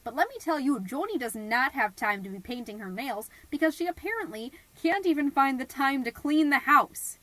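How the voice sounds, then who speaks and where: A woman's voice: high-pitched, expressive, normal speed, hardly any pauses, pushed and bright. A woman playing a chatty, fussy middle-aged suburban mom hosting an amateur podcast, folksy and passive-aggressive in a scripted comedic monologue. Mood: disapproving, exasperated. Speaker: podcast host.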